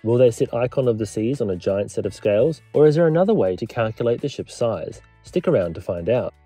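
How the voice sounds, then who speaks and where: A man, a maritime historian and lecturer, giving scripted explainer narration, clear and measured with a lightly wry touch. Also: medium pitch, expressive, warm tone, faint music behind.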